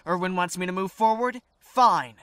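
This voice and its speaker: A performer acts out a wounded, defiant teenage boy in a zombie apocalypse drama. The voice is bright and tense, with an earnest, insistent delivery that sounds dramatic and raw. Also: medium pitch, moderate pace, clear, resonant tone, dry recording, natural voice. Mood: frustrated, resolute. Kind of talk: dramatic audio acting for visual-novel fan series.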